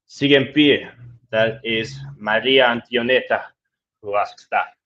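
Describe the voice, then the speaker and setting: A man talks in an easygoing, chatty way, reading viewer comments aloud and answering them with small restarts. His voice sits at an ordinary middle pitch. The recording sounds a little dull and lo-fi. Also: measured pace, fairly bright tone, slight background noise. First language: Danish. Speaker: travel vlogger answering viewer questions. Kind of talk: casual live Q&A, switching between English and Spanish.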